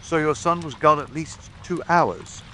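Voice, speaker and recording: A man's voice: a little high, measured pace, bright, forceful tone, slight background noise, rough recording. A man playing a self-satisfied, officious police detective, formal and methodical, in a dramatic audiobook. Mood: interrogating. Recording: faint rain ambience behind.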